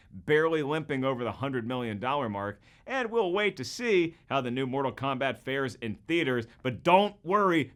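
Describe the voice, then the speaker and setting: A man's voice: medium pitch, fairly bright tone. A man with an American accent hosting a scripted comedic video essay in a jokey, emphatic presenter's delivery. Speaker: host.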